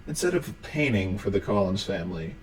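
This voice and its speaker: A man's voice: deep voice, very animated, fairly quick. Man narrating a horror explainer, faint background noise. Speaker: video narrator.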